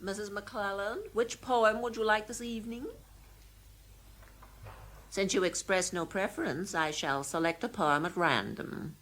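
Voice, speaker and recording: A woman playing the serene, polite voice of an automated house in an audio drama, formal and measured. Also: mid-range voice, a bit bright, a little hiss or hum, lightly acted, rough recording. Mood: calm, formal. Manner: measured, formal, unhurried recitation. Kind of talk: audio drama acting, recited poem.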